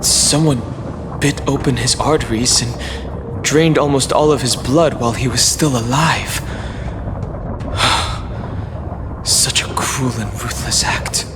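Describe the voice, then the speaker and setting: Man voicing a somber investigator, slow grave delivery, noisy background. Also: mid-range voice, lightly acted. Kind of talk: video game cutscene voice acting.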